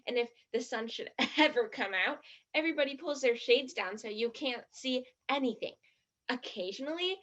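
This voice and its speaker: A woman's voice: sits high, a bit bright, highs cut off. An actress in a stage play playing a disgruntled, sarcastic young tourist who complains in exasperation about her trip.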